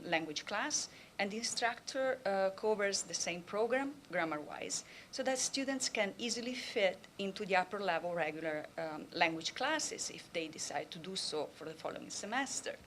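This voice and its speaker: A woman presenting at a conference, speaking at an even middle pitch. She talks in measured phrases broken by frequent "uh" and "um" pauses. A faint background hiss sits under the voice. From Italy. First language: Italian. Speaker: Italian language instructor. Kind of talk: conference talk.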